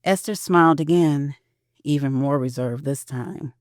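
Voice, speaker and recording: Audio drama narrator, mid-pitched, expressive and measured, very dry close sound. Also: dry recording.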